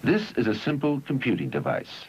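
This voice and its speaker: A man reads scripted narration for an educational film in measured, instructional phrases, on an old 1971 film soundtrack. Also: mid-range voice, huge pitch swings, highs cut off, slight background noise.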